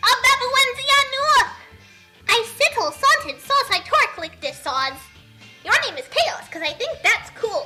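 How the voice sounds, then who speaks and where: An excitable, scheming spirit from a comic story. The voice is squeaky and extremely high, declaiming with lively swoops, with clear music behind. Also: male voice, exaggerated voice. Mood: grandly pleased.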